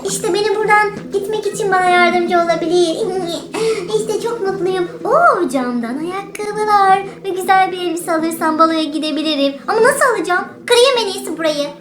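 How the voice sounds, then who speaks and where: A woman's voice: very high-pitched, moderate pace, hardly any pauses. A woman playfully improvising character voices over gameplay, theatrical and exclamatory. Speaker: game video narrator voicing all characters. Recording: roomy echo, crowd noise behind.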